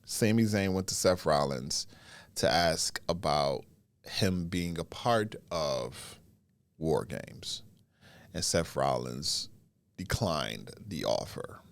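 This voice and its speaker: Congested man giving lively wrestling commentary. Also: very deep voice, vocal fry. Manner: animated, drawn-out pauses between phrases. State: congested, getting over a cold. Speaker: wrestling podcast host. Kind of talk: casual solo commentary.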